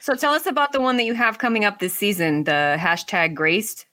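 A woman's voice: medium pitch, brisk, pushed and bright, no room sound, clean studio sound. A woman co-hosting an interview in a casual, conversational style. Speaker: interview co-host. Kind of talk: casual chat.

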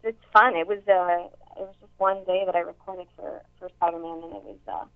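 Young woman with a deep voice on a tinny line. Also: fairly even intonation, a little fast, gravelly. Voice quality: somewhat deep voice. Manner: chatty, many fillers and restarts. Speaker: actress and voice actor. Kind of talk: casual interview chat.

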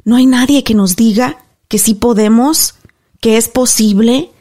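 A woman's voice: on the high side, a little slow, warm tone. A woman working as a radio host, speaking in a conversational hosting style.

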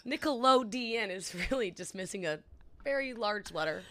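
A woman's voice: mid-range voice, animated, normal speed, bright, forceful tone. A woman in her thirties co-hosting a podcast, telling stories in a chatty, rambling way with lots of fillers. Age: 30s. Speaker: podcast co-host. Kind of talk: casual chat.

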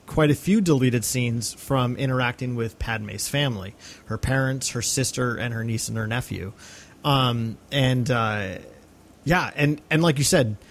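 A man co-hosting a casual film podcast, agreeable and a little halting, often repeating words as he goes. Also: mid-range voice. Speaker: podcast co-host.

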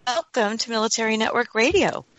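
A woman's voice: a little high, fairly quick, fairly bright tone, no room sound, highs cut off. Earnest woman hosting a radio talk show.

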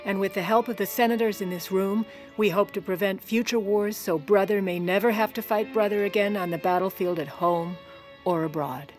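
A woman's voice: mid-range voice, fairly even intonation, normal speed, soft background music, natural voice. An actress playing a wry, bossy First Lady with an American accent and a dry, sarcastic manner in an audio drama. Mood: formal, oratorical. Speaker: lead character and narrator. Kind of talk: audio drama acting and narration.

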